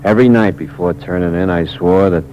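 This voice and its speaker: A man's voice: low voice, a little fast, a little mellow, faint music behind, natural voice. A man playing a hard-boiled dying ex-con. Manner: hard-boiled, tough streetwise narration. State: mortally wounded, weak. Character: dying young ex-convict drifter. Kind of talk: 1950s radio drama acting. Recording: dull old radio recording with hiss.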